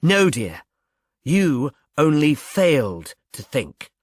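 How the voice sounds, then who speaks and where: Bloke reading as an older posh-society mum, sardonic and condescending, lively swooping delivery. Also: male voice, a little high, slow, no echo, studio quality, pitched above the performer's own voice, heavily acted. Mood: exasperated. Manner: tartly amused, condescending. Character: elderly, sardonic, self-possessed society mother.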